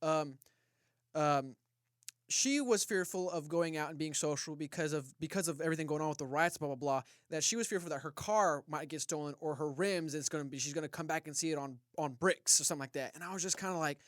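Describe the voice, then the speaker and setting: A man with a fairly high voice debates casually on a podcast. He is emphatic and quick to challenge, and the sound is dry, free of echo. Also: a bit bright. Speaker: podcast co-host.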